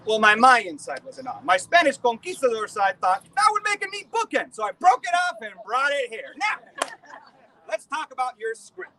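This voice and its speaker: A man's voice: extremely high pitch, sing-song, normal speed, bright, forceful tone, recorded from a distance, slight background noise, heavily acted. A man on a live outdoor stage, playing a smug man who boasts about a relic he stole, in a theatrical monologue.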